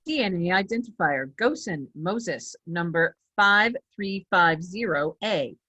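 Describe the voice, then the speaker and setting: A woman's voice: lowish voice, expressive, measured pace, bright, forceful tone, full character voice. Woman as formal computer security system. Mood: impersonal, procedural. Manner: formal, procedural. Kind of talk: screenplay table read.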